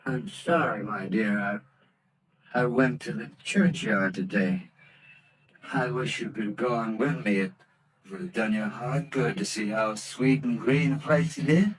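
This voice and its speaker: An actor playing a gentle, grieving father of modest means, speaking softly and haltingly, in old-time radio drama style. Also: lowish voice, a crowd in the background, lightly acted, poor audio. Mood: sad, gentle. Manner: soft, subdued, halting. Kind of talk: 1940s radio acting.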